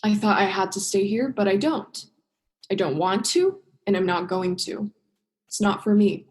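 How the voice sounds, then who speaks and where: A woman's voice: medium pitch, expressive, moderate pace, a little mellow, lightly acted. A young woman acting an earnest, principled diver in a student radio play. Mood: firm, resolute. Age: young adult.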